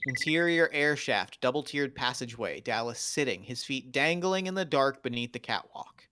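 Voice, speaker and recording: A man's voice: mid-range voice, expressive, normal speed, pushed and bright. A man narrating screenplay action lines at a table read in short scripted statements.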